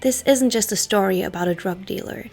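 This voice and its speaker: A woman who is not a native English speaker, hosting her own channel and narrating a scripted story in a dramatic, hushed style, her phrases often trailing off into a whisper. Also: medium pitch, fairly quick, gravelly, soft background music. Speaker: history channel host.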